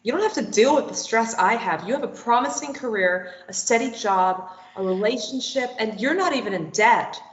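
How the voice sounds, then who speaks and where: A woman's voice: fairly high pitch, lively intonation, moderate pace, hardly any pauses, close to an everyday voice. A woman playing a bitter, sarcastic, self-deprecating young woman who wants to run away, in a stage play table read. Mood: bitter, resigned. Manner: sarcastic, dismissive. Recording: dull, roomy, echoey recording.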